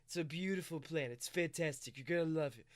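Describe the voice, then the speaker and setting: A man voicing a smug, smooth-talking emperor who pitches a sinister scheme with gushing, salesman-like praise. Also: quick pace, close to an everyday voice. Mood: smug, persuasive.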